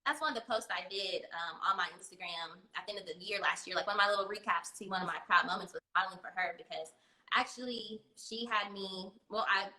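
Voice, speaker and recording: A woman's voice: mid-range voice, fast, sharp tone. A woman in her thirties, a guest on a casual video-call interview, chatty and laughing often, with many fillers. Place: home room. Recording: echoey room on a video call.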